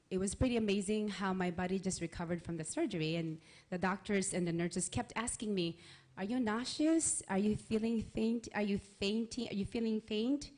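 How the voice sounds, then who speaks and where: Woman giving an earnest church testimony. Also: medium pitch. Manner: earnest, conversational, with restarts. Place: church sanctuary, in front of a congregation. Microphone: handheld mic.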